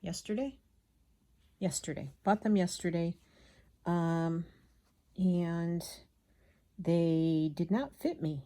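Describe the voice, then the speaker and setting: Relaxed, slow-talking woman, slightly gravelly. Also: fairly low pitch. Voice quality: slightly gravelly from a frog in the throat. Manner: chatty, absent-minded, self-interrupting, mutters to self. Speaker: craft vlogger. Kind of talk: casual rambling chat while hand stitching.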